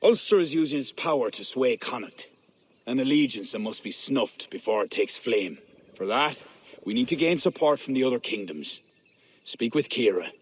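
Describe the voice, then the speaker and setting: Brief: actor as a proud medieval high king, grave and regal, steady mid voice, faint hum behind. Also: normal speed, lightly acted. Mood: commanding. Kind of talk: video game cutscene acting.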